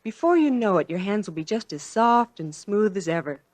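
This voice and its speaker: Animated, confident housewife. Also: female voice, medium pitch, normal speed, dry recording. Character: cheerful, confident housewife giving friendly soap advice. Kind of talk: 1940s radio commercial acting.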